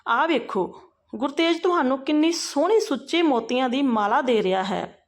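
A woman's voice: high voice, expressive, normal speed. A woman voicing a caring, perceptive and persistent sister-in-law in a family story, earnestly questioning and advising. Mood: probing, insistent.

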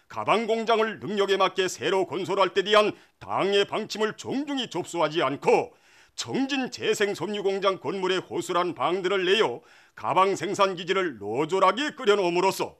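A man's voice: sits high, a bit bright. A man with a North Korean accent reading scripted state television news narration in a solemn, reverent, declamatory manner.

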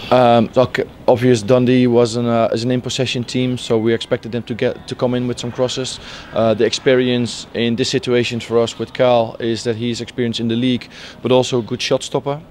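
A man in his forties, a football coach, answering post-match interview questions outdoors by the pitch, halting with many fillers and restarts. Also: darkish tone, a little hiss or hum. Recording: faint outdoor stadium ambience.

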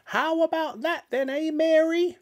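A man reading a storybook aloud and voicing a boastful, cackling old grandmother in a shrieking falsetto. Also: fairly even intonation, a little slow. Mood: gleeful shouting.

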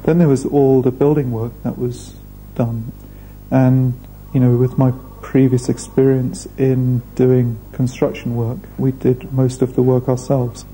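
A man, speaking thoughtfully in a documentary interview with measured pauses. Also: medium pitch, flat delivery, dark tone, slight background noise, poor audio. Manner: measured, thoughtful, hesitant fillers. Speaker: restaurant founder. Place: indoor room.

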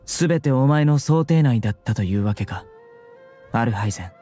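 A man acts a stern enforcer of the law in an anime game. His voice is mid-pitched and dark, composed and formal, with quiet music underneath. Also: lively intonation, brisk, close to an everyday voice. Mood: stern, calm. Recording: soft music behind.

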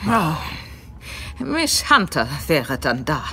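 An actress playing a forthright older housekeeper, dutiful and mildly reproachful, in a German audio drama. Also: female voice, deep voice, very animated, extremely slow, sharp tone, husky, heavily acted. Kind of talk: German radio-play acting.

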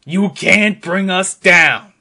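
Slow, forceful man reading dramatically. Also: high voice, gravelly. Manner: emphatic, deliberate, mock-serious. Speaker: performer reading social media posts aloud.

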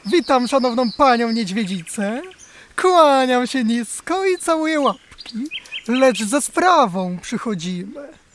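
Gallant brave hare. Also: female voice, on the high side, expressive, slow, a little hiss or hum. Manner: courtly, theatrical. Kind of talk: children's radio play acting.

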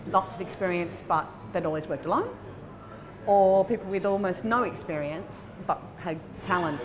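An Australian woman in her forties talking conversationally in an interview as a film producer, in an animated, emphatic, informal manner. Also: fairly low pitch. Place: indoor room by a bright window at a festival. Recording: chatter of people in the background.

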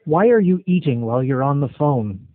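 A man's voice: mid-range voice, animated, normal speed. A man in a phone conversation, blunt and skeptical, questioning the caller as he strings them along. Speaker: call recipient stringing scam callers along. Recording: tinny narrowband phone line.

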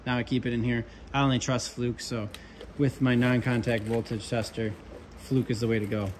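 A young man, an HVAC controls tech, chatting casually through his tool bag at a workbench, relaxed with lots of ums and asides. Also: medium pitch, normal speed, slight background noise. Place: workshop with pegboard and workbench.

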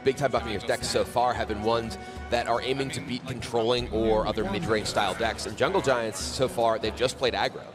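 A man with a mid-pitched voice works as an esports commentator. He explains the game analytically, with music playing clearly behind him. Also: fairly even intonation, fairly quick.

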